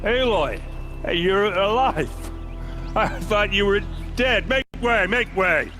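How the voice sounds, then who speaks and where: Tipsy captain: high-pitched, wildly animated, exuberant game performance over music. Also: male voice, takes it slowly. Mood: overjoyed. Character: boisterous, tipsy male guard captain, exuberant. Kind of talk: video game voice acting.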